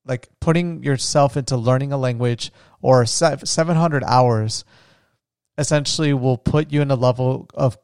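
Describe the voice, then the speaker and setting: A man's voice: mid-range voice, a little mellow, clear, resonant tone, dry recording, studio quality. A young American man hosting a podcast, thinking aloud in a casual, rambling way with frequent restarts. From United States. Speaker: podcast host. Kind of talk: casual solo podcast monologue.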